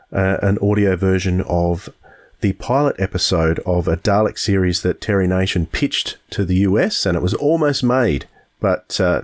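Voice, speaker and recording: A man co-hosting a podcast in casual, chatty talk. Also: deep voice. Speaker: podcast co-host.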